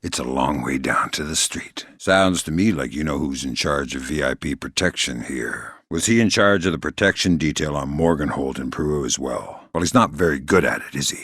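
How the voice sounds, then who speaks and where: A man playing a middle-aged, dry-witted, gruff covert operative in a video game, deadpan, sardonic and quietly menacing. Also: a bass voice, moderate pace, hardly any pauses, no echo, full character voice. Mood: calm menace. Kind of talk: video game voice acting, isolated dialogue lines.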